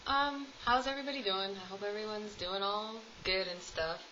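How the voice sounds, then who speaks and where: Chatty young woman, bright voice. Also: medium pitch, fairly even intonation, normal speed, muffled recording, a little hiss or hum. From United States. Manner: chatty, rambling with many ums and laughs. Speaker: vlogger. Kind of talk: casual tag video chat. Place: home room, at home with cats.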